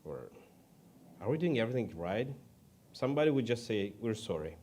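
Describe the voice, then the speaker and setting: A man from Ukraine with a Ukrainian accent preaches informally in English as a second language, halting and self-deprecating. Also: on the low side, big pitch swings, a little mellow. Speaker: guest preacher. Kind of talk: informal sermon.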